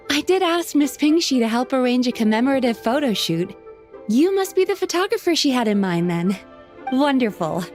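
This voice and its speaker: A high-pitched, very melodic voice of a gracious young female shopkeeper in a video game. She is polite and cheerful, and soft music plays behind her. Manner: polite, warmly appreciative. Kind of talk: video game character dialogue.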